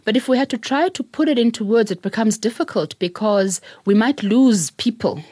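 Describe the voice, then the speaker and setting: A middle-aged South African woman, a public speaker, telling her story in an interview in an earnest, reflective way, with soft laughs. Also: fairly high pitch, lively intonation, normal speed, breathy edge, dry recording, studio quality. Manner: earnest, repeats words, laughs softly while telling stories.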